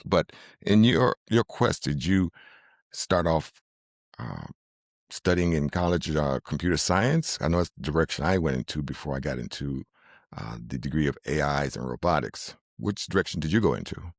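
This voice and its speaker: A middle-aged man hosting a podcast, talking in a relaxed, easygoing way with a deep bass voice and easy chuckles. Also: normal speed, gravelly, no echo, clean studio sound. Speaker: podcast host. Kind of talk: casual interview chat.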